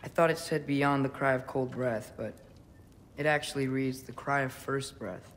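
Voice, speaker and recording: A man's voice: medium pitch, fairly even intonation, moderate pace, fairly bright tone, a little hiss or hum, natural voice. A young man acting as an earnest, awkward teenage boy in a Norse fantasy video game, speaking hesitantly. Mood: thoughtful. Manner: hesitant, stammering.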